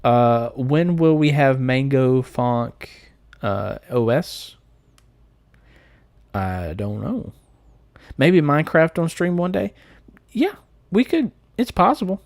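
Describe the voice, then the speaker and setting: A man's voice: mid-range voice, big pitch swings, takes it slowly, vocal fry, pauses often, a little mellow, no echo, clean studio sound. A man with a Southern American accent chatting informally and jokingly on a live stream as he answers comments. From United States.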